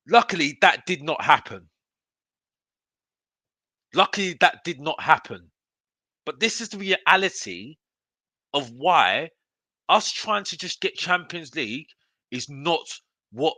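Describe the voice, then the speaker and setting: A man's voice: fairly high pitch, big pitch swings, takes it slowly, long pauses, sharp tone, dry recording. A man hosting a live football fan show, ranting in an emphatic, repetitive, exasperated way. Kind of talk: live opinionated fan rant to viewers.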